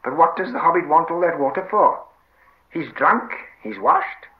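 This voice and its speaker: A greedy, wretched storybook creature with a high, hissing whine that leaps into squeals. It wheedles and repeats itself, cringing and easily alarmed. The audio is slightly muffled. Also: male voice, lively intonation, normal speed, vocal fry. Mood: wheedling, grumbling. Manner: repetitive wheedling, speaks of himself in third person.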